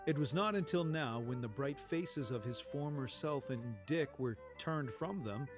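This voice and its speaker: Male narrator, unhurried, dramatic storybook delivery, expressive, measured, soft music underneath. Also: medium pitch.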